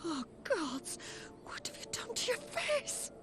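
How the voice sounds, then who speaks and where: A woman's voice: very high voice, sing-song, slow, whispered, music in the background, exaggerated voice. A woman playing an anxious, pleading wife and mother in a video game cutscene. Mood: dismayed.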